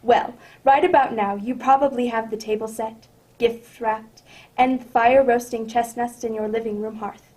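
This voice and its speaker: A woman plays an affable announcer in an old-time radio drama. The voice sits fairly high, with chummy, polished patter, like an eager guest of the storyteller. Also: fairly even intonation, unhurried, a little mellow, natural voice, low-quality recording. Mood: salesmanlike cheer.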